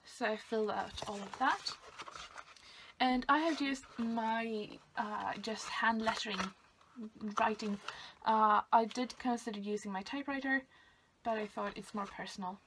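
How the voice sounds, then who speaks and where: A woman's voice: on the high side, steady tone, a little slow, background noise. A young woman from Sweden with a Swedish accent, chatting casually and hesitantly through a craft album, with many fillers. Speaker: craft vlogger. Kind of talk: casual show-and-tell.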